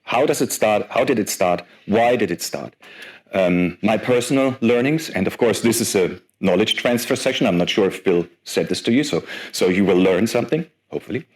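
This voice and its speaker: Low-voiced man giving a chatty talk. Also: normal speed. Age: 40s. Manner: lively, self-deprecating, chatty with frequent fillers. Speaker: guest speaker. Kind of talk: conference talk.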